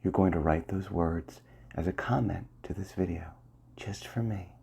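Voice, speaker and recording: A man with a very low, dark voice leads a slow ASMR hypnosis session. His tone is gravelly and deeply breathy, and he speaks in soft, commanding phrases that often sink to a half-whisper.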